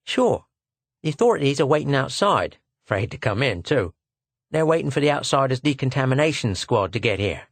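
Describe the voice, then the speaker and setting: A man's voice: mid-range voice, very animated, fairly quick, no room sound, voice pushed up. A man voicing a small, cheeky fruit seller in a story, slangy, ingratiating and impudent. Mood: amused, impudent.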